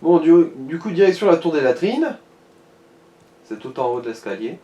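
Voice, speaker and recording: A man's voice: fairly high pitch, sing-song, moderate pace, mellow, lo-fi sound. A man giving casual guidance during a video game, mixing wordy, mock-formal phrasing with slang. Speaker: co-player guiding the gamer. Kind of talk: casual gaming commentary. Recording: echoey room.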